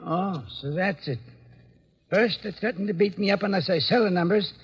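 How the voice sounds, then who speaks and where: An actor playing a stubborn, frightened old candy store owner in a radio drama, husky and indignant in plain working-class speech. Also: male voice, high-pitched, moderate pace, muffled recording, exaggerated voice. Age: older. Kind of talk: 1940s-50s radio acting.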